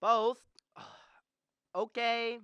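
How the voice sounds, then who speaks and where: A man's voice: very high voice, little pitch movement, extremely slow, sharp tone, clear, resonant tone, dry recording, dull audio, exaggerated voice. A teenage student actor playing a stubborn, restless young small-town man in a radio drama, stammering and emphatic. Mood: hesitant, curious.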